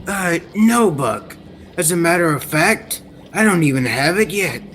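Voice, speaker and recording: A man's voice: a little high, animated, unhurried, a bit bright, slight background noise. A young man playing an earnest, formal inventor doctor in an old-time sci-fi radio serial. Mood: hesitant. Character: earnest male scientist doctor, excitable inventor.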